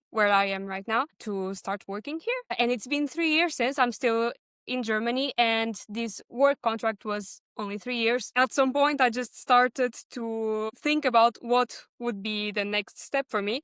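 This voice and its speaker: A woman's voice: on the high side, a bit bright, clean tone, no echo, clean studio sound. A woman in her twenties from Portugal with a Portuguese accent, telling her own story conversationally as a podcast guest. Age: 20s. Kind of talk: conversational personal account.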